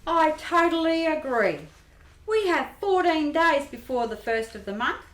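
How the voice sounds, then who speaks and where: A woman voicing a scheming, excitable squirrel grifter in an amateur radio play. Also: sits high, a little slow. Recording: roomy echo.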